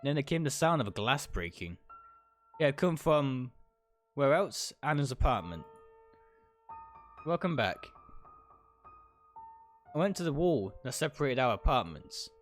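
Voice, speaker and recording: A man reads a visual novel aloud for a livestream with lively, swinging intonation. He narrates dramatically in the first person, sometimes tripping on a word and fixing it. Soft guitar music plays behind him. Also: medium pitch, measured pace, long pauses. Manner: stumbles over words and corrects himself.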